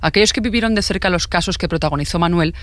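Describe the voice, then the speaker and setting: A man's voice: high-pitched, quick pace, fairly bright tone, dry recording. A man narrating a scripted true-crime documentary.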